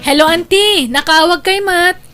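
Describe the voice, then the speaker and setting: Woman playing high-pitched, cheerful Ilocano niece. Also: moderate pace, loud music behind the voice. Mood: cheerful, obliging. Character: cheerful, obliging young niece named Glenda. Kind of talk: Ilocano comedy radio drama acting.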